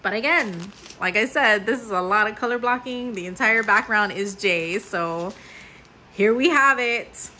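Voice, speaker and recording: A chatty young woman shows off a craft kit on her table, upbeat and full of fillers. Her voice is bright and forward, with a faint hiss of room noise behind it. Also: medium pitch, unhurried. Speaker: crafting channel host. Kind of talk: casual unboxing commentary. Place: indoors at a table. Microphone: overhead camera mic, hands-only framing.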